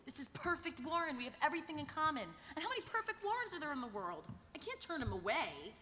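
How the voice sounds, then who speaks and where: A woman's voice: sits high, sing-song, fast talker, sharp tone, full character voice. A young woman playing an earnest, fretful young artist, gushing and melodramatic, at a live table read. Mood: flustered. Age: young adult. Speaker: actress. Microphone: table microphone. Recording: echoey convention hall, faint noise.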